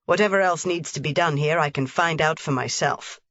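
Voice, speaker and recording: Brief: a woman reading as a curt old mountain man, low and quiet, dull dry recording. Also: normal speed, no echo. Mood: gruff. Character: gruff stern old mountain grandfather.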